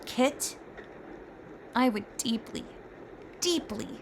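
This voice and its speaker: A woman playing an earnest, excitable, bookish fairy scholar who lectures about magic in a scripted roleplay. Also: on the high side, a little hiss or hum. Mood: eager, pleading. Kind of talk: scripted roleplay voice acting with storytelling. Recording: music behind the voice.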